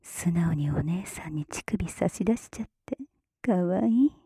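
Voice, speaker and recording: A woman speaks in a very airy, hushed whisper for adult ASMR. Her delivery is unhurried, teasing and softly commanding. The sound is dry and intimate, right at the microphone. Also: fairly low pitch, expressive, breathy edge, dry recording. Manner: slow, teasing, gently commanding big-sister persona. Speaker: erotic audio performer. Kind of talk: whispered erotic ASMR roleplay. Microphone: close mic, dry.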